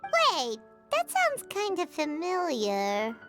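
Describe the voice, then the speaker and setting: A tiny, childlike, chirpy video game companion. The pitch is extremely high and leaps about. Music plays clearly behind. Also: female voice, wildly swooping pitch, slow, heavily acted. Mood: puzzled.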